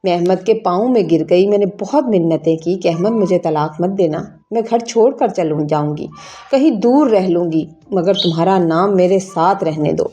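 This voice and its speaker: A woman's voice: fairly low pitch, mellow, highs cut off, slight background noise. A woman reading a scripted, emotional first-person story as its narrator. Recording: music bed behind narration. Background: background music.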